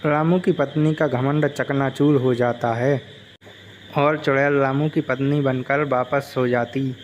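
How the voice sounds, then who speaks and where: Male story narrator, mid-pitched, unhurried, very even intonation, scripted delivery. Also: slight background noise.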